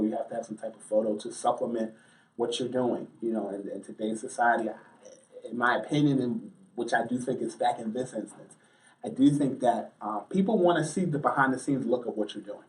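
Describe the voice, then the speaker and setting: A male journalist giving conversational interview answers in a mid-range voice. He builds his points haltingly, often repeating words. The microphone sounds slightly distant. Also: mid-range voice. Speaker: interview guest, sports and entertainment journalist.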